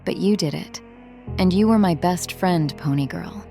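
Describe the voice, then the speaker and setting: A woman playing a haunted, protective former street criminal in a drama, speaking in an earnest, halting, confessional way. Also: fairly low pitch, creaky, mellow, soft background music. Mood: tender, resolute. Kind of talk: dramatic audio drama acting.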